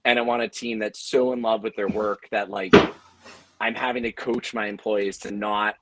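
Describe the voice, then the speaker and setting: A man's voice: fairly low pitch, flat delivery, moderate pace, heavy background noise. A man speaking earnestly and conversationally on a panel, explaining how he leads his team. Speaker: audio drama company leader on a panel.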